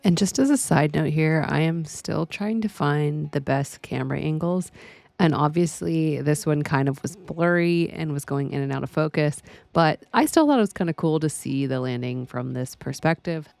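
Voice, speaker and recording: A woman's voice: sits low, moderate pace, creaky, darkish tone, no room sound, soft background music. An American woman giving a casual voice-over narration for her flying vlog.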